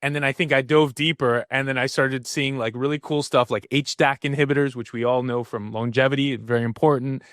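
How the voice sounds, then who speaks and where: A man's voice: mid-range voice, steady tone, fairly quick, few pauses, a bit bright, no room sound, clean studio sound. Rambling enthusiastic male podcast host. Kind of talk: casual interview chat.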